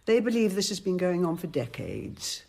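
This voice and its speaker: Husky, commanding abbess. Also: female voice, lowish voice, big pitch swings, a little mellow, heavily acted. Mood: dry, matter-of-fact. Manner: authoritative, measured, dryly ironic. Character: commanding, shrewd, composed convent abbess of mature years. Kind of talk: radio drama acting.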